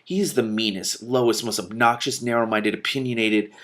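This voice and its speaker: A man's voice: mid-range voice, fairly even intonation, fairly quick, fairly bright tone, voice pushed up, close to an everyday voice. Storybook fire witch, male, read by a man: hot-headed, aggrieved, emphatic ranter with a grumbling edge. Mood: angry.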